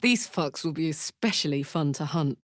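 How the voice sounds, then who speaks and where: An actress playing a brash, warm-hearted, battle-hardened tiefling barbarian woman in a video game, blunt, cheeky and earnest. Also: low voice, expressive, normal speed, no echo, clean studio sound. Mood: blunt, tough. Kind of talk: scripted game character voice lines.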